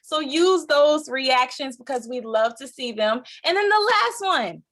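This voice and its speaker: Woman in her twenties, higher voice, upbeat and chatty host, live group call, direct to audience. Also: animated, measured pace.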